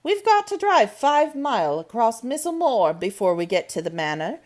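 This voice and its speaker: Woman playing a brisk housekeeper, pitched up, Yorkshire attempt. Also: very animated. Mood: matter-of-fact. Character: brisk older housekeeper woman, bossy, matter-of-fact.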